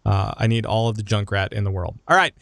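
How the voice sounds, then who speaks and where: A man hosting a casual gaming podcast, chatting in an animated, jokey way and often interrupting himself. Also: fairly low pitch, fast talker, gravelly. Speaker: podcast host.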